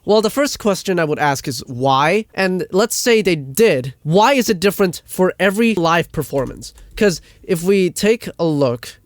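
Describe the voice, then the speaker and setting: A man's voice: high voice, animated, measured pace, hardly any pauses, dry recording. A man delivering a casual, exasperated rant as a video creator, blunt and emphatic, with swearing. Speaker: video creator ranting about music production.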